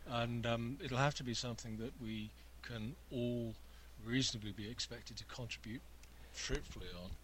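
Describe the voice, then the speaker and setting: A man with a soft voice joins a casual chat as a guest and grumbles with wry, self-mocking humor. Faint noise can be heard behind him. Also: medium pitch, steady tone, normal speed, hardly any pauses.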